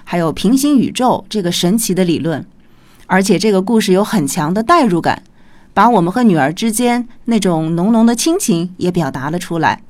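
Woman with very lively intonation. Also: fairly low pitch, normal speed. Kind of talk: thank-you speech.

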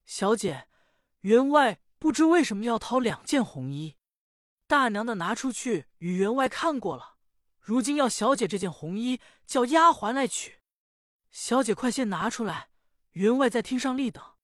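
A woman's voice: fairly high pitch, expressive, moderate pace, dry recording, studio quality, pitched above the performer's own voice, natural voice. A woman voicing a young servant girl who urgently relays messages in a traditional storytelling performance. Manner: urgent, breathless reporting.

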